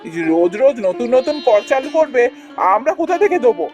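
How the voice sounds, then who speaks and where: A man's voice: extremely high pitch, sing-song, fast talker, a little mellow. A man playing an aggrieved villager in a cartoon fairy tale, complaining indignantly. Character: aggrieved village man protesting a tyrant king. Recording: faint music behind the voice.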